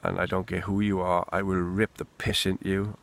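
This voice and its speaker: A man's voice: sits low, level tone, a little fast, vocal fry, fairly bright tone. A Welsh man rambling candidly and swearing freely in an unscripted monologue, recorded outdoors in the wind. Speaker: vlogger.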